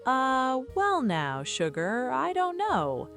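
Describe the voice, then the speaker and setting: A big, cheerful, doting storybook father, as a woman narrator plays him. He talks in a hearty, folksy way with lively swings and unhurried pace over music. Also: high-pitched, voice pushed up, heavily acted. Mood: hesitant. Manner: hearty, folksy, mock-stern. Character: large, cheerful, doting Southern father, indulgent.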